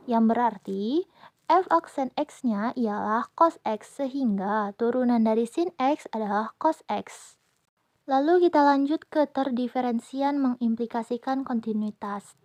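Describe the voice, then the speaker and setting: A young woman, a university student, presenting a calculus lesson by reading each formula aloud in a steady, step-by-step way. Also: a little high, no echo. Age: young adult. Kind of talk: reading a math explanation.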